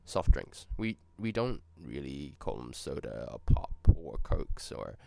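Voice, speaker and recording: A man's voice: very deep voice, big pitch swings, a little slow, creaky, mellow, no room sound. A young man from New Zealand with a New Zealand accent, chatting casually and rambling through a vlog with self-corrections.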